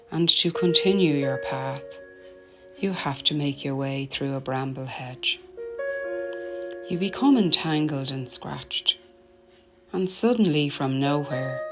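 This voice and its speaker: Woman, very low-pitched voice, guided meditation, gentle and soothing delivery, soft phrase endings, music behind.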